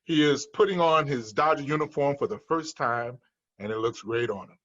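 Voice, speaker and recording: A man's voice: medium pitch, normal speed, a bit bright, lo-fi sound. A man reading screenplay stage directions as scripted narration at a table read.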